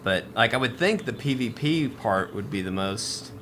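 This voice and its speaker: A man's voice: fairly low pitch, expressive, normal speed, a bit bright, a little hiss or hum. A man hosting a casual gaming podcast, chatting with friends. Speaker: podcast host.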